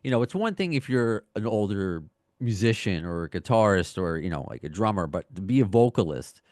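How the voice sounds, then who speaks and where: Male podcast host, very deep voice, hesitant rambling questions, dry close recording. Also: very animated, a little fast, a little mellow, no room sound. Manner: rambling, hesitant, many "like" fillers. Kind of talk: interview questions.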